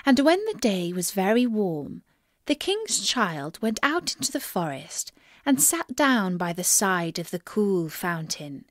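Slow, animated woman storyteller. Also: mid-range voice, no echo, clean studio sound. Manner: expressive, deliberate read-aloud. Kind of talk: children's fairy tale narration.

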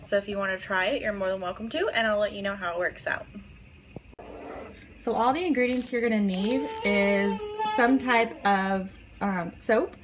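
A woman's voice: mid-range voice, moderate pace, noisy background. A young woman in her twenties casually demonstrating a recipe in her home kitchen, chatting with many ums while she works. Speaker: vlogger.

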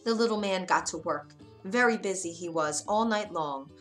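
A woman narrating a children's bedtime story in an expressive, gentle way. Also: lowish voice, moderate pace. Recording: soft music bed behind.

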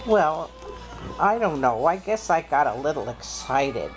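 A woman voicing a male storybook wolf, sly and a little sheepish, with slow, drawn-out speech. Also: sits low, very animated, soft background music, pitched below the performer's own voice, poor audio.

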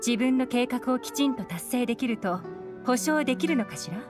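A woman's voice: on the high side, lively intonation, fairly quick, lightly acted. An actress as a shrewd young woman inspector in a fantasy game. She is poised and cool, with a teasing edge. Faint music plays behind her. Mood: probing. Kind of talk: Japanese video game voice acting.

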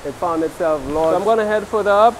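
A man narrating his hike outdoors by a river, talking in a relaxed, marveling way into a handheld camera. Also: sits high, fast, a little hiss or hum, rough recording. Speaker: vlogger. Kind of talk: hiking vlog narration.

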